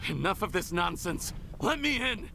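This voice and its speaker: A bitter scorned potion maker. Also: male voice, high-pitched, big pitch swings, moderate pace, vocal fry, heavy background noise, exaggerated voice. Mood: demanding. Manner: sneering, resentful. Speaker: game character. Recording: game audio with music behind.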